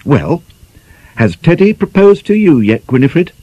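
A man's voice: a little high, sing-song, unhurried, mellow, pitched above the performer's own voice. A man voicing a mischievous nineteen-year-old young woman who needles her friend with gleeful mockery. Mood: teasing.

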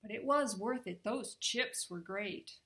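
A woman's voice: medium pitch, a little slow, fairly bright tone. A woman voicing an earnest, curious young boy turned bird in a storybook. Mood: amused, curious.

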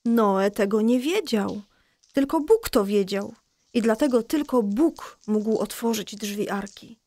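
A patient mother in a children's radio play. Her voice sits fairly high and is recorded close and dry. She tells a Bible story in a reassuring, explanatory way. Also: animated, takes it slowly, warm tone, no echo, exaggerated voice. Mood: patient, explaining. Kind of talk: scripted children's audio drama storytelling.

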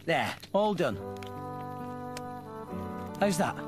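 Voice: medium pitch, big pitch swings, extremely slow, music in the background. An actor playing an upbeat teenage boy adventurer in a video game, friendly, eager and plain-spoken. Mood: reassuring. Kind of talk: animated video game acting.

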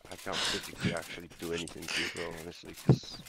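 A man's voice: sits low, monotone, very fast, gravelly, poor audio. A man in a heated argument on game voice chat, swearing and hurling insults. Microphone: gaming voice chat microphone.

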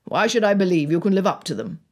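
A woman plays a middle-aged gentleman father in an audiobook. He is stern yet drily teasing, drawling in measured phrases. The voice has a husky edge. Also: fairly low pitch, sing-song. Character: stern, wry upper-class father, middle-aged man. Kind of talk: dramatised audiobook dialogue.

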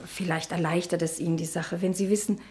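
A woman's voice: lowish voice, steady tone, a little fast, darkish tone, breathy edge. An actress in a German radio drama playing a shy, naive ageing spinster niece, hesitant and plaintive in her speech. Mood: subdued, earnest.

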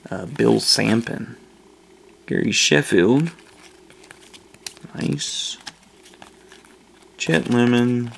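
A man hosting a casual video, slowly reading off names as he opens packs at a table indoors. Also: vocal fry, slight background noise. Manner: slow, low-key listing of names. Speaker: channel host opening card packs. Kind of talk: casual card-opening commentary.

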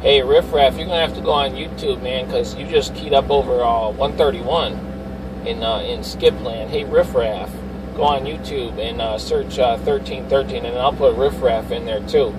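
A man's voice: medium pitch, steady tone, moderate pace. A man making casual CB radio chatter in a vehicle, with repetitive call-sign patter. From Chicago. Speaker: CB radio operator. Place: inside a vehicle cab. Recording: noisy car cab, distant mic.

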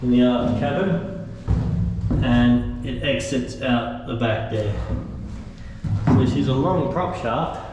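A man's voice: mid-range voice, takes it slowly, pauses often. Man vlogging in an echoey metal space. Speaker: boat restorer and vlogger. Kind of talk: casual walk-through commentary on repair work. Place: inside a bare steel boat hull under restoration. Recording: echoey metal room, background noise.

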